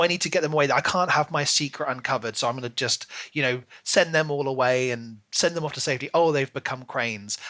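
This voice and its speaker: Male podcast host, slightly high and sharp-edged, dramatic and opinionated in casual book talk. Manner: animated, dramatic, mock-voices characters' lines.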